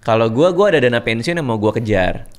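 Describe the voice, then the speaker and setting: Slangy, relaxed man hosting a chat. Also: medium pitch, fairly quick. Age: 40s. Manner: relaxed, slangy, repeats words for emphasis. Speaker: host. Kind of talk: casual chat.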